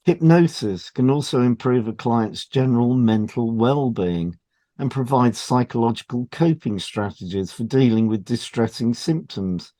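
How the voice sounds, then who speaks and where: A man's voice: medium pitch, warm tone, dry recording. A man co-hosting a health podcast, reading scripted advice in an explanatory, matter-of-fact way. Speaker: podcast co-host and hypnotherapist. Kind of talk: scripted educational narration.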